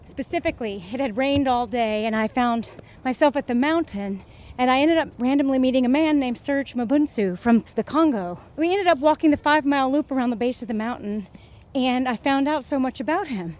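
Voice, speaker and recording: A woman from Georgia being interviewed outdoors, talking earnestly and enthusiastically in quick bursts. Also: sits high, darkish tone. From Georgia, USA. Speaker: interview guest. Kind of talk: casual interview conversation.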